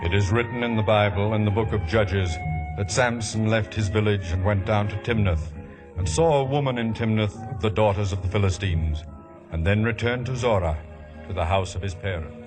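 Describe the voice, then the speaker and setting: Man as solemn bible narrator on old radio, lowish voice, music under him. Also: moderate pace, close to an everyday voice. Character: solemn biblical storyteller. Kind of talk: scripted scripture narration, 1950s radio. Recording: vintage 1950s radio broadcast.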